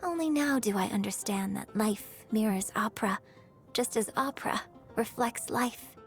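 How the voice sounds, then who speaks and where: An actress playing a refined, gracious young opera star and troupe director in a video game, speaking in a formal, theatrical manner. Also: female voice, mid-range voice, moderate pace, faint music behind, lightly acted. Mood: thoughtful, earnest. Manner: genteel, theatrical, formal wording. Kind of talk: video game character voice lines.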